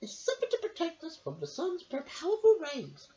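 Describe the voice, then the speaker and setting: An eccentric, practical lady put on by a woman narrator declaims cheerily in a very high, swooping sing-song. It is a storybook character voice, exaggerated and eager. The home recording is muffled and hissy. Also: wildly swooping pitch, moderate pace, dark tone, exaggerated voice. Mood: cheery, decisive.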